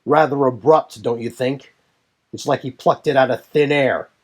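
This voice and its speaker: Man as Veritas, scornful, husky young warrior. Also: mid-range voice, steady tone, normal speed, pitched above the performer's own voice, close to an everyday voice. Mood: suspicious, scornful. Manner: scornful, accusing. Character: Veritas, suspicious, hostile young male warrior. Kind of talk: fan audio drama dialogue.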